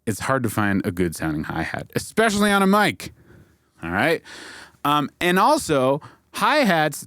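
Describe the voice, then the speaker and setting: A man's voice: medium pitch, dry recording, studio quality. A man giving a casual, explanatory tutorial as an instructor, informally checking in with his listeners as he goes. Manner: informal, checks in with "okay?" and "right?". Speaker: audio mixing instructor and video host.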